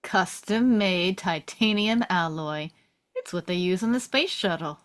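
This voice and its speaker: A woman playing a proud, friendly male military veteran in a table read, measured and plain in delivery. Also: lowish voice, a bit bright. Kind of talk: informal screenplay table read.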